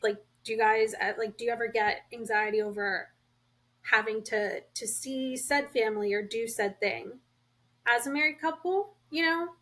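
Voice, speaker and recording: Woman, podcast co-host, casual, inquisitive, somewhat high pitch. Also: normal speed. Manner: relaxed, asks questions, thinks aloud. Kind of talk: casual chat.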